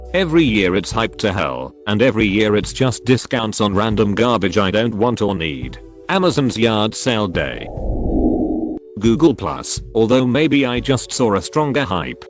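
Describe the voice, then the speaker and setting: A man with a low voice reads out a string of short reader stories. His delivery is dry, but his pitch moves around a lot. Faint music plays underneath. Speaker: narrator reading online posts. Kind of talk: scripted narration of reader stories.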